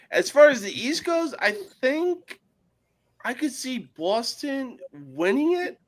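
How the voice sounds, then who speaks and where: Profane New man, lively and bright. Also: very high voice, slow pace. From North Jersey, USA. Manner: blunt, profane, joking. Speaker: podcast guest. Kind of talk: casual sports podcast chat.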